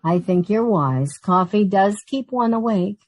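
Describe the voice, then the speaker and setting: A woman's voice: medium pitch, animated, unhurried, a little mellow, no room sound. A woman playing a sensible, wry, long-suffering young secretary in a 1940s-style radio comedy. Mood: polite, strained. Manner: wry, proper.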